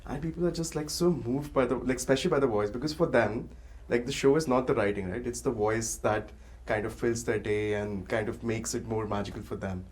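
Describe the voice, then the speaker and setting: Man, medium pitch, casual panel talk, frequent tag questions, conversational. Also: fairly quick, darkish tone. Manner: says "like" and "right?" very often.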